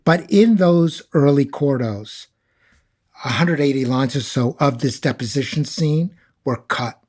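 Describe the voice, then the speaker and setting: Husky man explaining emphatically. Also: medium pitch, measured pace, a little mellow. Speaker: Shakespeare scholar guest. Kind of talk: conversational expert commentary.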